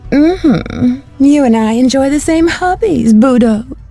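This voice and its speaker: Sly serpent villainess, scornful, smooth-dark timbre, higher register, video game cutscene. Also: female voice, lively intonation, slow pace, faint music behind, full character voice.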